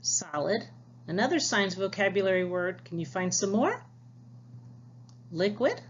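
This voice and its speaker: Slow-paced, animated woman teacher reading aloud. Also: mid-range voice, highs cut off, a little hiss or hum. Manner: instructive, addresses children directly with questions and prompts. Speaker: teacher reading a picture book aloud to young pupils. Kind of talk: read-aloud science lesson.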